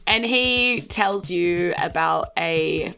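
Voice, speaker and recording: A woman's voice: on the low side, extremely slow, bright, forceful tone, soft background music. A woman with a New Zealand accent casually improvising narration as game master of a tabletop game.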